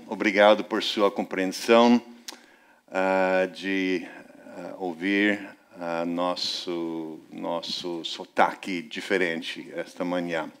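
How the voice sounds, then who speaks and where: Slow, earnest foreign-accented preacher man. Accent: Brazilian-influenced Portuguese, non-Portuguese. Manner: slow, earnest, rises in pitch for emphasis. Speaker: visiting preacher. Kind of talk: sermon. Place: church service.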